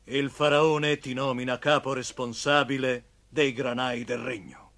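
A man's voice: medium pitch, steady tone, unhurried, fairly bright tone, a little hiss or hum. A man playing a formal Egyptian royal messenger in a cartoon, speaking in a proclaiming manner. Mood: official. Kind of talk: dubbed cartoon acting.

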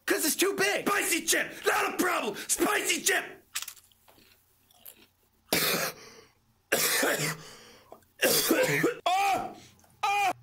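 A young man giving loud, crude comedy commentary over video games, yelling and joking at the screen, recorded on a headset in a bedroom setup with game music behind him. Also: extremely high pitch, expressive, very slow, long pauses, a little hiss or hum. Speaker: gaming YouTuber.

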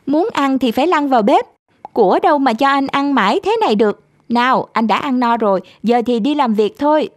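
A woman's voice: high voice, lively intonation, brisk. A woman voicing a sly, bossy talking cat in a cartoon fairy tale, with a commanding, teasing manner.

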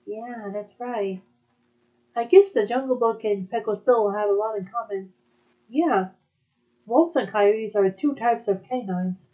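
A woman with a fairly high voice chats in a casual, conversational way. The recording is slightly dull and lo-fi. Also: a little slow. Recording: dull, muffled lo-fi audio.

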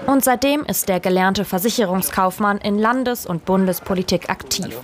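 A woman's voice: medium pitch, moderate pace, a little hiss or hum. A woman reading a scripted voice-over for a television news report. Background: music.

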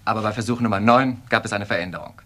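An actor playing an eager, polite research scientist in a radio crime drama, with an earnest way of talking. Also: male voice, lowish voice, rapid-fire, a bit bright. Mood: enthusiastic. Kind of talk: naturalistic German radio crime drama acting.

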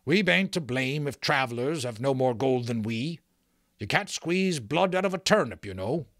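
A man voicing a huge, good-natured outlaw giant with a gruff, booming voice and rustic dialect speech, for a storybook. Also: animated, normal speed, a bit bright, dry recording, studio quality. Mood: grudging, sullen.

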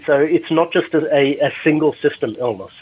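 A man's voice: on the high side, normal speed, a little mellow, husky, highs cut off. A male doctor explaining a health topic in a recorded panel discussion, with frequent restarts. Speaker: doctor presenter. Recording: replay of a Clubhouse audio room.

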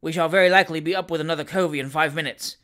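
A man's voice: a little high, fairly even intonation, very fast, bright, forceful tone. A man voicing a grumbling, sardonic gamekeeper in a storybook reading. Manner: growling, dry.

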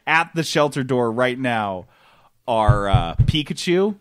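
A man hosting a podcast, joking casually in an animated, playfully dramatic way. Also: medium pitch, slow pace, smooth. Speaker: podcast host.

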